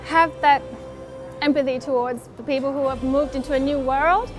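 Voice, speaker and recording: A woman's voice: sits high, fairly even intonation, moderate pace. A woman who works as a workplace buddy, speaking in a chatty interview testimony. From migrant to Australia. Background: music.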